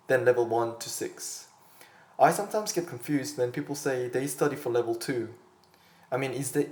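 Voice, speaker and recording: Man, teacher, steady explanatory delivery, roomy echo. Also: medium pitch. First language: Korean. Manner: steady, explanatory, addresses viewers directly. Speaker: Korean language teacher. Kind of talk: scripted online lesson.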